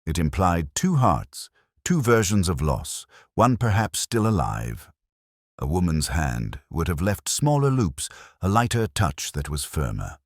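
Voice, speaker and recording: A man narrating a detective mystery in the first person, in a measured, literary and wry manner. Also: low voice, dry recording, studio quality.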